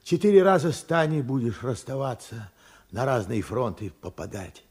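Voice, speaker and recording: An actor in a radio play playing a weary, regretful old war veteran, hoarse and urgent in a halting delivery. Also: male voice, medium pitch, big pitch swings, a little mellow. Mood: agitated. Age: older. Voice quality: hoarse, husky, cracked. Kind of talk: Soviet radio play acting.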